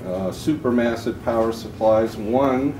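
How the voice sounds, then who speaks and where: A middle-aged man who makes audio equipment explains a product's technical details in a measured way, pausing often with "uh" as he lists its specifications. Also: medium pitch, lively intonation, creaky. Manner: measured, listing specs with frequent "uh" pauses. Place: hotel room at an audio show, indoors. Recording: echoey room with audible background noise.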